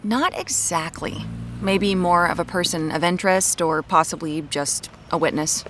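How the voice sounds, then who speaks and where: An actress playing a brisk, evasive young woman amateur detective in an audio drama, dry and matter-of-fact. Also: fairly low pitch, a bit bright, soft background music.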